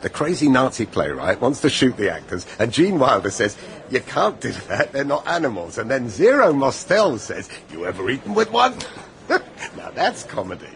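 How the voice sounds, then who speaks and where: Grumbling British man, hugely animated. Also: medium pitch, normal speed, gravelly. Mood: sardonic. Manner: sardonic, exasperated. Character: grumbling, tight-fisted, sarcastic middle-aged theatregoing husband. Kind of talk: radio drama acting. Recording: faint theatre bar ambience.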